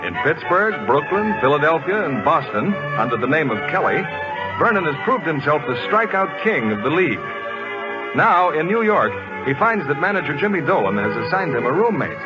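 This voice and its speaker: A man's voice: fairly high pitch, sing-song, normal speed. A man announcing in a scripted 1940s radio style, narrating and selling as the programme's announcer. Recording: dull old radio recording. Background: music.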